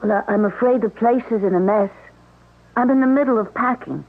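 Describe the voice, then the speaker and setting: An actress playing a weary, polite widow in a radio mystery drama, speaking softly and wearily. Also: female voice, on the high side, brisk. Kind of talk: 1970s radio drama acting. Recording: tinny radio sound from off-mic.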